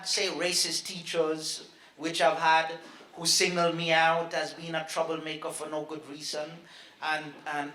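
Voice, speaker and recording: A middle-aged man speaking as a panelist, measured and hedging, into a handheld microphone at a small event. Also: high-pitched, bright, forceful tone, echoey room. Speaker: panelist, researcher and activist. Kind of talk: panel discussion. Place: small event stage with a live audience.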